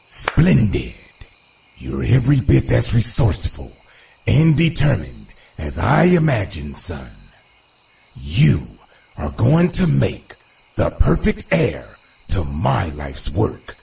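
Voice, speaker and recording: A man's voice: on the low side, huge pitch swings, slow pace, gravelly, background noise, full character voice. A man voicing a menacing, paternal crime boss villain in a motion comic, grandiose and theatrical. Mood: pleased, sinister.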